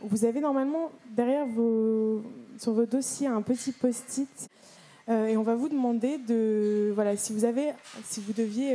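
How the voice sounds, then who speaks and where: A woman's voice: fairly high pitch, warm tone. A young woman moderating a conference, speaking casually through a handheld mic with many fillers and restarts. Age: young adult.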